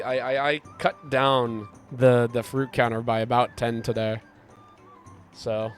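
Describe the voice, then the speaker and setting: Gravelly, sick-sounding male streamer. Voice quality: gravelly and deep. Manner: rambling, reads chat aloud and answers it. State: sick, congested and hoarse. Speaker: game streamer. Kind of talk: casual live-stream chat while gaming.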